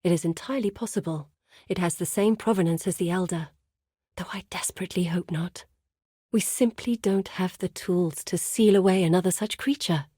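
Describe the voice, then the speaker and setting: A woman voicing an earnest, wary scholar and guide in a dark fantasy video game, speaking gravely in articulate, formal phrases. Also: lowish voice, dry recording, studio quality. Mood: wary, foreboding.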